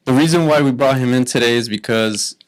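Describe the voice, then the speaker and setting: A man's voice: mid-range voice, fairly quick. Chatty young male co-host. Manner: loose and chatty, stumbling over names. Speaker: podcast co-host. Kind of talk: casual podcast chat.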